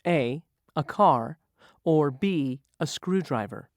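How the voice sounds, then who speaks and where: Patient, slow quiz narrator. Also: medium pitch, expressive, no echo, studio quality. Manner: patient, teacherly question-and-answer delivery. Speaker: quiz reviewer in a vocabulary lesson. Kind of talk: slow scripted quiz questions for learners.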